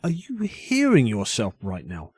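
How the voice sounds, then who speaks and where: Man acting as husky, stern older inspector. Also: mid-range voice, wildly swooping pitch, darkish tone, no room sound, heavily acted. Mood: challenging, insistent. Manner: measured, authoritative, formal. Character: stern, probing older male inspector questioning a young arsonist. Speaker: voice actor in an audio drama. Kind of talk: dramatic interrogation dialogue.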